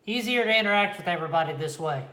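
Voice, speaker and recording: A man from the Kansas, Oklahoma and Missouri area with a Midwestern American accent, hosting a relaxed livestream from home and answering viewers' chat. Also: high-pitched, rapid-fire. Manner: laid-back, reads and answers live chat. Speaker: reptile channel host. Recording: echoey room.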